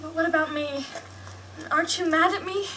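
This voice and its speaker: An actress playing an anxious, remorseful teenage daughter in a radio drama, pleading and hesitant. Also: female voice, extremely high pitch, fairly even intonation, normal speed, bright, forceful tone, recorded from a distance, slight background noise, rough recording.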